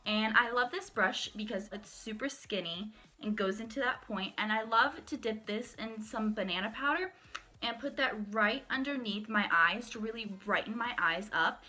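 A young woman talks to camera at home in a bright, crisp voice, chatty and upbeat as she shows off each item. She is sick, so a slight crack slips into her voice now and then. Her pitch sits in the ordinary middle range. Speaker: beauty vlogger. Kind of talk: casual product walkthrough. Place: small room at home, indoors.

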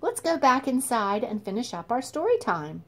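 A mature woman hosting storytime for young children, speaking expressively with drawn-out emphasis. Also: fairly high pitch, big pitch swings, a bit bright. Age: middle-aged or older.

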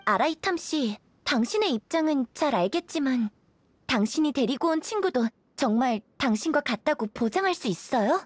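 A woman playing a guarded, soft-spoken young village woman in a Korean game, asking questions in a measured, careful way. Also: high-pitched, expressive, no echo. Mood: guarded, serious. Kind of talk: Korean game dialogue voice acting.

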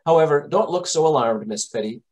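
A man playing a calm gentleman detective in an old-time radio drama, measured, reassuring and authoritative. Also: fairly high pitch, close to an everyday voice. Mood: explaining.

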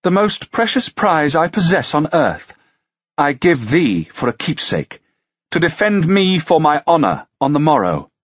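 A man voicing a poised noble princess who speaks in a cool, measured way. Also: on the high side, very animated, dry recording, muffled recording, voice pushed up. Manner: cool, measured, archaic speech.

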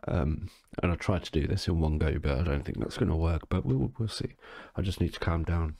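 A tipsy man reading a bedtime story in a deadpan, sweary way, stumbling now and then. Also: low voice, vocal fry, no room sound. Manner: slow, sweary, deadpan, stumbling over words. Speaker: story reader. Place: alone in a quiet, dry-sounding space.

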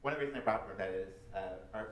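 A man's voice: on the low side, racing, a bit bright, reverberant, recorded from a distance, slight background noise. A man presenting to a school board, speaking hesitantly with many "uh"s and thanks. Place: board meeting room.

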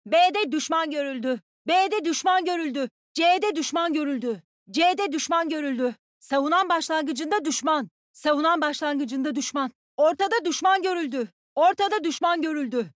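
A woman playing a tough, bossy young soldier who commands animals in a video game, with a brisk, commanding, teasing delivery. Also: high-pitched, fairly bright tone, dry recording, studio quality. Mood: urgent, alert. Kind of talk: video game character voice lines.

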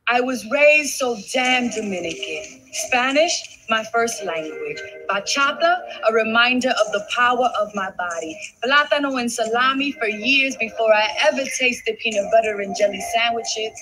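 A woman in her thirties performing her poetry in a rhythmic, expressive spoken-word style. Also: a little high, normal speed, few pauses, rough recording. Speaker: poet. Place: studio. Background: music.

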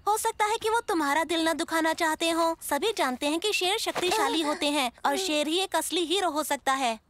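A woman voicing an eager, boastful young male animal cub in a dubbed cartoon, childlike and excited. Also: normal speed, noisy background. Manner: excited, high-pitched childlike voice.

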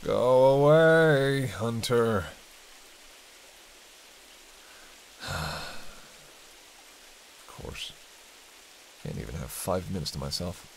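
A man's voice: mid-range voice, slow, vocal fry, pauses often, poor audio. A man playing a hungry, cranky vampire in a roleplay audio drama, bantering sardonically and intimately with a listener cast as a hunter. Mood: weary, curt. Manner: sardonic, teasing, dry banter. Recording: steady rain and hiss behind.

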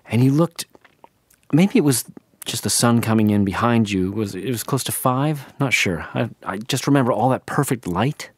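An actor playing a wistful middle-aged husband, talking softly and haltingly at a funeral in a radio play. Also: male voice, medium pitch, lively intonation, husky, dry recording. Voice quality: soft, halting, musing. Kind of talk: radio drama monologue.

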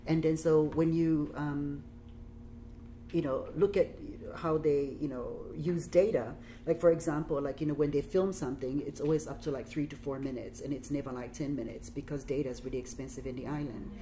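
Low-voiced woman with a Samoan accent. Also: steady tone, fairly quick, mellow, a little hiss or hum. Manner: chatty, many fillers. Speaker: guest artist. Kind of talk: talk and Q&A. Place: gallery room, indoors.

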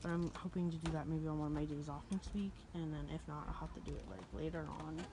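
Young adult female vlogger, slightly low voice, casual and rambling, clearly noisy handheld phone sound. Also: fairly even intonation, fast. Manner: informal, rambling, talks to a pet. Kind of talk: casual vlog chat.

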